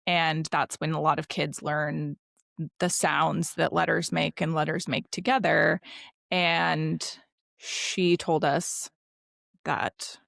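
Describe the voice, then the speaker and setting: A woman in her thirties co-hosting a podcast with a low, gravelly voice. She chats casually and wryly, laughing often, recorded dry on a close microphone. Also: flat delivery, takes it slowly, fairly bright tone, dry recording. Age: 30s. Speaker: podcast co-host. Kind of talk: casual chat.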